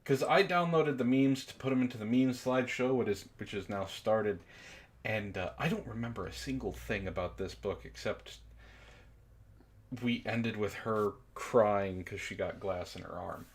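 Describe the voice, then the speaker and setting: A man's voice: on the low side, moderate pace. A man hosting a book club livestream in casual chat, jokey and playfully theatrical when he announces things.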